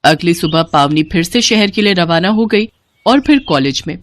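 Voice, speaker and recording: A woman's voice: lowish voice, lively intonation, normal speed, no echo. A woman reading a scripted story as its narrator.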